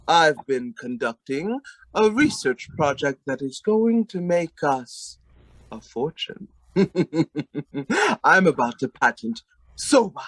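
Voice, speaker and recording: Slurred, swooping comic drunk husband. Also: male voice, on the high side, dry recording, exaggerated voice, rough recording. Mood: fawning, pompous. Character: sloppy drunk husband, fawning and grandiose with his wife. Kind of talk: comic old-time radio acting.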